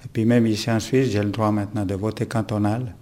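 A man in his sixties, born in Italy, answering interview questions in a plain, halting way with many fillers. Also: lowish voice, flat delivery, dark tone.

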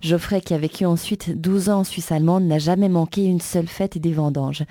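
Dark-voiced woman presenting an interview. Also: lowish voice, fairly even intonation, brisk. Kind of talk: scripted presentation and interview questions.

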